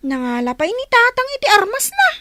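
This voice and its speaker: Very high-pitched little Ilocano village child. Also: female voice, huge pitch swings, brisk, pushed and bright, no room sound, exaggerated voice. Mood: anxious, reporting. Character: small village child, tattling, earnest younger sibling. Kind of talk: Ilocano radio comedy drama acting.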